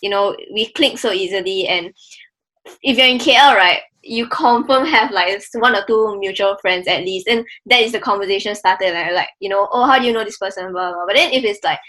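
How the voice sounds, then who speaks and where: A woman's voice: medium pitch, a little fast, pushed and bright, low-quality recording. A woman in her twenties with a Malaysian accent, chatting casually on a podcast with lots of filler words. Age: 20s. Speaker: podcast co-host.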